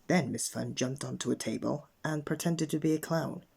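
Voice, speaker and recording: A man narrating a children's bedtime story in an expressive read-aloud manner. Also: fairly high pitch, moderate pace, gravelly, slightly rough. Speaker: storybook reader.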